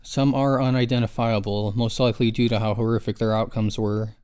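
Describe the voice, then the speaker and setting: A man's voice: fairly low pitch, flat delivery, fairly quick, a little mellow, clear, resonant tone, dry recording, clean studio sound. A man giving scripted narration for a video essay about horror. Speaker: narrator of horror series analysis.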